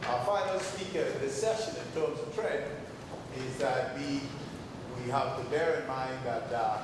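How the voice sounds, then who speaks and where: A man chairing a session, introducing the next speaker in a hesitant way with fillers. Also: medium pitch. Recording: echoey hall, distant mic, noisy.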